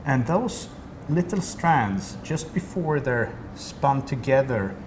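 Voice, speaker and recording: A man's voice: medium pitch, big pitch swings, takes it slowly. A man from Sweden with a Swedish accent, a company representative explaining a product in detail during an interview. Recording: noisy room ambience.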